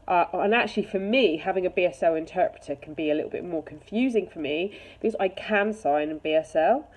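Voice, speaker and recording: Woman with a mellow, lower voice. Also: fairly quick, poor audio. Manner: candid, conversational. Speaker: charity chief executive giving an opinion. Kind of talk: casual opinion talk to camera.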